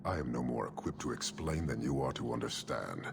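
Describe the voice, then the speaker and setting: Very deep, gravelly horned giant commander. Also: male voice, animated, background noise, full character voice. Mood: stern, lecturing. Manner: measured, formal, disdainful pronouncements. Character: stern, contemptuous giant horned warrior commander. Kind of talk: video game cutscene acting.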